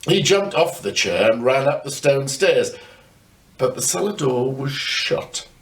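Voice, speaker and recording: An older man reading a children's ghost story aloud in a wry way, with dramatic pauses. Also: mid-range voice, sing-song. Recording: distant microphone.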